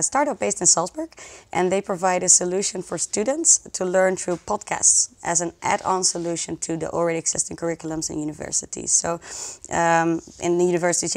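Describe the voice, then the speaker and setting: A woman with a slightly low voice talks as an interview guest. She explains her work in an enthusiastic, conversational way. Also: vocal fry. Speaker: interview guest, social project community organizer.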